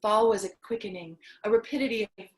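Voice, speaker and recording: A woman's voice: medium pitch, fast talker, close to an everyday voice. A woman playing a tender mother, the last woman on earth, in a staged reading. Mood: tender, nostalgic. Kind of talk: staged reading, storybook narration.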